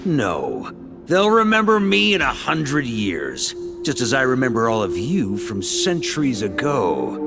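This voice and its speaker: A man's voice: medium pitch, huge pitch swings, measured pace, gravelly, full character voice. An actor playing a bold, defiant veteran knight in a video game, confident, wry and rousing in his delivery. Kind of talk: dramatic video game voice acting. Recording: music behind the voice. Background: dramatic game music.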